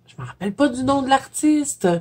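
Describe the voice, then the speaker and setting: A woman with a Québécois French accent, stuffy from a cold, chatting casually as a coloring vlogger, filling pauses with "euh" and soft giggles. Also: sits high, animated, breathy edge. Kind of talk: casual chat flipping through a coloring book.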